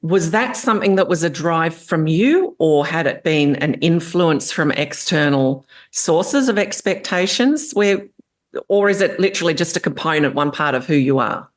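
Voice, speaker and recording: A woman's voice: low-pitched, normal speed. A woman hosting an interview, asking engaged, probing questions and offering brief affirmations. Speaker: podcast host. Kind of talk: interview conversation.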